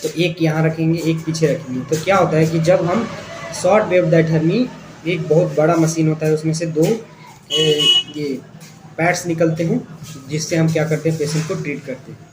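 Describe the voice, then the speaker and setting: A man's voice: fairly high pitch, fairly even intonation, moderate pace, over music. A man with an Indian accent giving an informal lecture as an instructor, explaining step by step with repetition and rhetorical questions. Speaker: physiotherapy instructor and channel host. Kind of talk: informal spoken lecture to viewers. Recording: echoey room, clear background noise.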